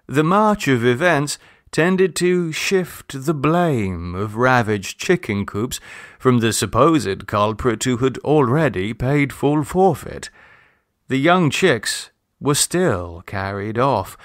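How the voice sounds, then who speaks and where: A man reading a literary short story as a narrator, in a measured, expressive storytelling manner. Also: mid-range voice, no echo, clean studio sound. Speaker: audiobook narrator.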